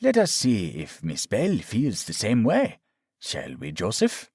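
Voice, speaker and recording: A man voicing a menacing male doctor villain who speaks with smug calm, coaxing and mock-tender. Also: fairly low pitch, slow, slightly rough, no room sound, studio quality, full character voice. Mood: calm menace.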